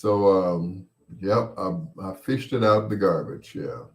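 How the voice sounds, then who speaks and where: A man's voice: deep voice, lively intonation, slow. A man hosting a personal storytelling show in a casual, rambling, playful way, sometimes acting out what people said. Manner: playful, acts out quoted voices.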